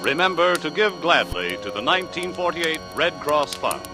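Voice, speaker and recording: A man's voice: a little high, very animated, normal speed, over music. An American man reading scripted credits as a 1940s network radio announcer. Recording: vintage 1940s radio recording.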